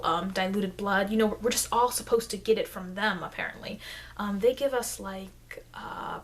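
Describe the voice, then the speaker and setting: A woman playing a friendly, rambling vampire lecturer in an improvised ASMR roleplay, speaking in halting, chatty asides that aim to reassure her human listener. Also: natural voice. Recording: noisy, low-grade microphone.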